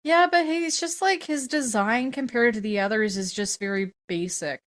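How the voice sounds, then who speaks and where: A woman's voice: fairly high pitch, moderate pace. A woman hosting a podcast in casual chat, presenting award categories in an informal, casually profane way. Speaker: podcast host.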